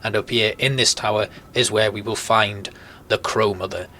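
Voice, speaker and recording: A man's voice: on the low side, steady tone, moderate pace, bright, forceful tone. A man giving conversational, explanatory commentary as a guide through a video game. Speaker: gaming video host, guide. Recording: clear background game noise. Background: game ambience.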